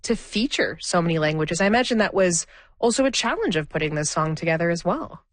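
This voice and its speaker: A woman's voice: on the low side, lively intonation, brisk, no room sound. A woman asking questions as an interviewer in a broadcast conversation.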